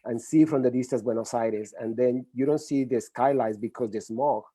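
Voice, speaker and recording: A man's voice: medium pitch, normal speed, warm tone, husky, no room sound. A middle-aged man from Colombia with a Colombian accent, a Spanish speaker talking English earnestly as a guest expert in an interview, with many restarts. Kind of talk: conversational interview.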